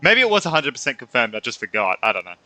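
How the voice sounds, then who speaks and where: A man's voice: mid-range voice, huge pitch swings, racing, pushed and bright, no room sound, low-quality recording. A man giving casual, informal, reactive commentary as a gaming streamer, tossing in asides as he plays. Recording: faint game music behind.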